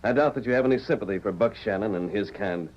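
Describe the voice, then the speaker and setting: An actor playing a calm, fearless masked hero in a 1940s radio western, giving terse, measured orders. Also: male voice, on the low side, natural voice. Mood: forceful. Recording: muffled vintage 1940s radio recording.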